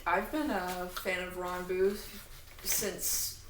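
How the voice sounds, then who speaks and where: A woman's voice: lowish voice, steady tone, takes it slowly. A woman co-hosting a casual podcast, explaining things in an informal, fannish way with trailing asides. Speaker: podcast co-host. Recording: echoey room, distant mic, faint noise.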